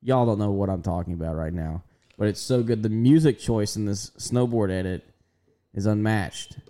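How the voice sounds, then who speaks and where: Low, mellow-voiced young man chatting. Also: normal speed. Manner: laid-back, rambling, laughs often. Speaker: podcast co-host. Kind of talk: casual chat.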